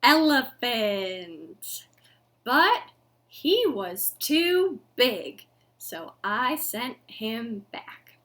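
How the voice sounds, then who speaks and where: Animated, high-voiced woman leading children's storytime. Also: drawn-out words. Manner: animated, sing-song, addressing young children with exaggerated expression. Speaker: library storytime host. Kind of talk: children's storytime, interactive read-aloud. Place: living room at home.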